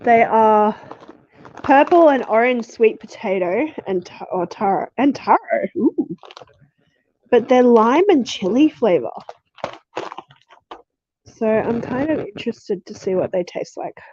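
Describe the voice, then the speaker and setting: A woman streamer with a croaky, sniffly voice from being sick, chatting casually and laughing often. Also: on the high side, animated, a little slow, long pauses, dark tone. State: croaky voice from a cold. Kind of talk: casual live stream chat. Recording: dull lo-fi sound.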